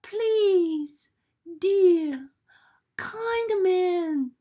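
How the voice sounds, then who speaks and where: A woman voicing an anxious mother kangaroo in a bedtime storybook, with a very high, pleading voice. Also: animated, very slow, dull audio, full character voice.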